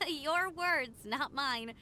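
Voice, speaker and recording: A woman's voice: high-pitched, very slow, sharp tone, a little hiss or hum, heavily acted. A woman voicing a cheerful young female scout knight who talks in a chatty, upbeat way. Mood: wistful.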